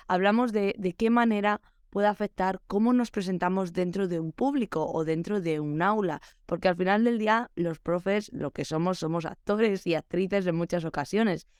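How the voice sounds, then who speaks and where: A woman's voice: mid-range voice, normal speed, a little mellow, smooth, no room sound, studio quality. A young adult woman hosting a solo podcast for teachers, chatty and reflective, giving practical advice.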